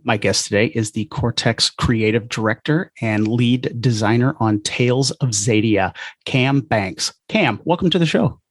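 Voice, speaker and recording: A man's voice: mid-range voice, unhurried, dry recording. Man hosting a podcast, dry close sound. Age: 40s. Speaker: podcast host. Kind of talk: podcast interview.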